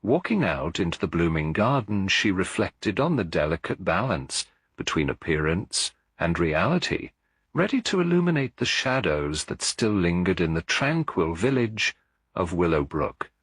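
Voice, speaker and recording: Deep-voiced, husky male story narrator. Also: very animated, fairly bright tone, dry recording. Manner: measured, literary storytelling delivery. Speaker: audiobook narrator. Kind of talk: scripted mystery story narration.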